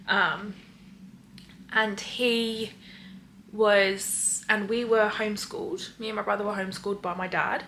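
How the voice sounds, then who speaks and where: Casting: young English woman, faint Australian traces, bright voice, easy rambling vlog chat, faint room hum. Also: medium pitch, vocal fry. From England. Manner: chatty, rambling, many fillers. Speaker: vlogger. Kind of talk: casual chat, Q&A.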